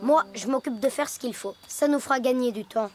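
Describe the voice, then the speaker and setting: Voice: medium pitch, brisk, darkish tone, natural voice. An actor voicing a cautious, accident-prone young cartoon boy who talks hesitantly, with children's music behind. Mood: calm. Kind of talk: cartoon voice acting.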